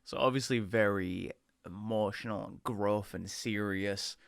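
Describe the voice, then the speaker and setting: A man's voice: on the low side, a little slow, creaky. A man in his mid twenties talking casually as a video creator presenting a challenge. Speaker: content creator doing voice acting challenge. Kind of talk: casual chat to camera.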